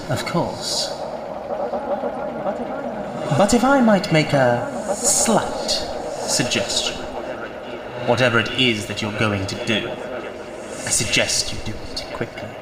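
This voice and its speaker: A man voicing a patronising, wry scientist in an audio drama, measured and condescending. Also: medium pitch, big pitch swings. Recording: echoey with loud background noise.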